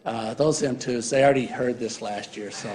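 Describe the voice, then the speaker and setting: A man's voice: mid-range voice, normal speed, darkish tone. Casual joking male lecturer. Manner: casual, filler-heavy, joking with students. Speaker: embryology lecturer. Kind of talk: university lecture. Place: lecture hall.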